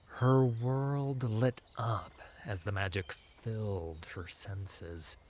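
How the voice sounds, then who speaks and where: A man reads a fantasy audiobook as its narrator. His voice is fairly low and his pace is slow, giving the scripted prose a measured, dramatic delivery. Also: fairly even intonation, a little mellow, a little hiss or hum.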